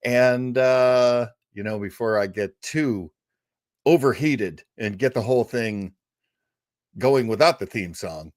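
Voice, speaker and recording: A man's voice: mid-range voice, takes it slowly, no room sound, clean studio sound. A man hosting a livestreamed radio-show listening party, chatting casually and enthusiastically with lots of fillers.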